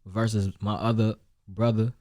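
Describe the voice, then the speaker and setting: A young man from Chicago speaks with a deep voice and a Chicago accent. His talk is loose and rambling, full of restarts and fillers. The room sounds dry and close. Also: little pitch movement, moderate pace, darkish tone, dry recording. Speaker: rapper and singer, guest. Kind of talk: casual interview chat.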